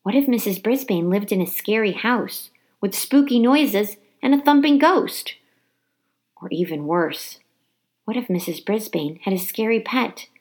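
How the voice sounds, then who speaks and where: A woman reading a children's storybook aloud with dramatic, animated delivery and emphasized words. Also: medium pitch. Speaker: teacher reading a book aloud. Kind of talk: expressive children's storybook narration.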